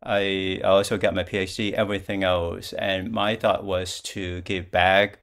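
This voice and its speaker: An older man from Vietnam, a Buddhist monk, calmly giving a dharma talk over a video call, speaking slowly and patiently with frequent restarts. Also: low-pitched, vocal fry. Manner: calm, slow and patient, with restarts. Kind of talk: dharma talk answering questions. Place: plain-walled room at home.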